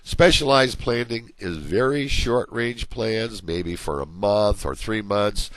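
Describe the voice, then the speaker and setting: Deep voiced guy teaching a management class, a bit hoarse, dry close mic. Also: expressive, slow pace, no room sound. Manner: conversational, explains with everyday examples. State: losing his voice. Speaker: college management instructor. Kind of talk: recorded slide lecture.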